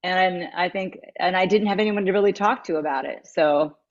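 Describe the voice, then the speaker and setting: A woman in her fifties speaks in a lower register, candid and reflective, her thoughts wandering before they land. Her voice arrives over a video call, with dull, muffled lo-fi sound. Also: normal speed. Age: 50s. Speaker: guest, matchmaker and dating coach. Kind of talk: candid interview conversation.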